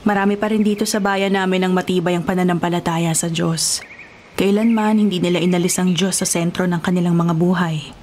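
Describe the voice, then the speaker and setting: A woman playing a kindly, welcoming small-town nun in a Filipino radio drama, with a gentle, counseling manner. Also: on the low side, flat delivery, fairly quick, a little hiss or hum, close to an everyday voice. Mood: helpful.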